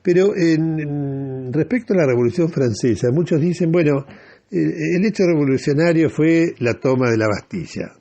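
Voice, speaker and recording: An Argentine man giving political commentary on the radio in a deliberate, didactic way, often posing rhetorical questions. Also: a little high, expressive, warm tone.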